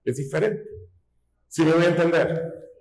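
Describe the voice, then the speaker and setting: Slow preacher in an echoey hall. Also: male voice, fairly high pitch, gravelly, a little mellow. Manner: folksy, asks rhetorical questions, teaching tone. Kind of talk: sermon.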